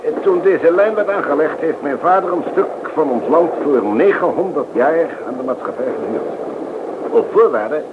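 An actor playing a talkative, entitled gentleman landowner in a radio drama, chatty, self-important and easily affronted. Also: on the high side, animated, normal speed, natural voice. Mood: self-assured. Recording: noisy, thin, phone-like radio play recording.

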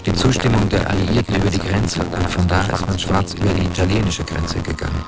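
A man giving a plain, unhurried spoken recollection as a witness. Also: sits low, fairly even intonation, very noisy. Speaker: witness recalling camp life. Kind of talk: recorded testimony. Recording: loud music underneath.

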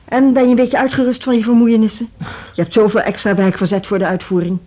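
Actress as concerned music school head, muffled recording. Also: female voice, fairly high pitch, fairly even intonation, quick pace, close to an everyday voice. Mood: welcoming, courteous. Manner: gentle, concerned, courteous. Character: Gerda, sympathetic adult woman running a music school. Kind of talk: radio drama acting. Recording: dull, lo-fi radio play recording.

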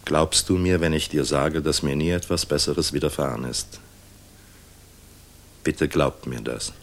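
Deep, wry, world-weary general. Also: male voice, measured pace. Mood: tender. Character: weary, wry middle-aged air force general, fatherly, worldly. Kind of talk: 1960s German radio drama acting.

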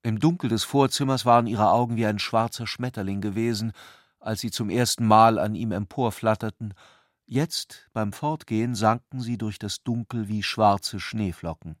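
A man with a low-pitched voice narrates a literary novel for radio. The sound is dry and close, with no room around the words. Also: fairly even intonation, normal speed, dry recording.

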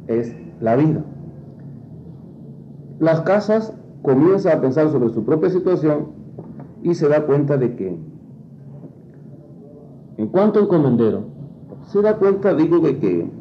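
A man, a priest and scholar, explaining in an interview in a halting, didactic way. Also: medium pitch. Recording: dull lo-fi interview, faint noise.

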